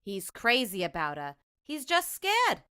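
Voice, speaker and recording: A woman's voice: on the high side, huge pitch swings, measured pace, sharp tone, clean tone, dry recording, studio quality, exaggerated voice. A woman playing an exasperated housewife and mother in a 1950s-style radio sitcom, fretful and scolding. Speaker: radio play actor.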